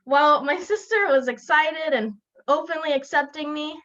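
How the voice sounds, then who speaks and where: A young woman from South Florida tells her own story as a student speaker. Her voice sits a little high, and she speaks earnestly, pausing and restarting now and then. The sound is dull and lo-fi, as from a video call. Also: a bit bright. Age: young adult. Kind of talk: personal narrative speech. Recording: lo-fi online call audio.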